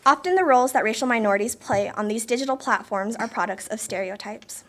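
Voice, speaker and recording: A woman's voice: on the high side, lively intonation, quick pace. A young woman, a student presenter, reading a prepared talk in a careful, formal way. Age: young adult.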